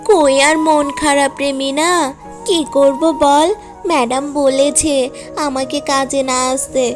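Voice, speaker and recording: Woman playing a high-voiced caring fox mother. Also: flat delivery, slow pace. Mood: worried. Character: caring, worried fox mother, hard-working housemaid. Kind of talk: cartoon story dialogue. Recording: music under the voice.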